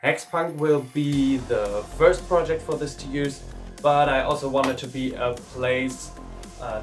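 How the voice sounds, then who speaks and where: A German man with a German accent, a game designer vlogging from his home workshop in a relaxed way, thinking aloud with frequent ums. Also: mid-range voice. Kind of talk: casual vlog talk to camera.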